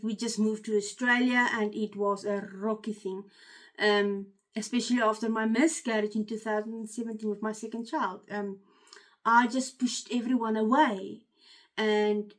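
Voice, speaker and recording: A woman's voice: medium pitch, fairly even intonation, a little slow. A woman with an Afrikaans accent, a life coach giving an earnest, candid motivational talk to her channel's viewers. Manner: earnest and candid, with frequent "um" fillers and restarts. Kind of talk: personal motivational talk to camera.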